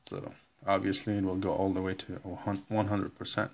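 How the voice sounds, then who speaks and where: A man giving an informal step-by-step computer tutorial in a halting way, reading out text as he goes. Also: low-pitched, flat delivery, gravelly. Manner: halting, instructional, reads out on-screen text. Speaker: tutorial presenter. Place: indoor room, filming a laptop screen.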